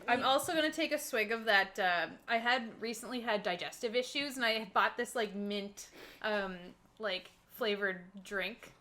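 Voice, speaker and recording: A woman's voice: fairly high pitch, normal speed, bright, forceful tone. A woman talking casually as a player in a tabletop roleplaying session. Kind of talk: casual chat at a game table.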